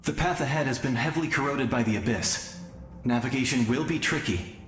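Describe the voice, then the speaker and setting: A man voicing a gentle, sincere young spirit guide in a video game. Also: mid-range voice, a little fast, lightly acted. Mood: warm resolve. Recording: echoey, music behind.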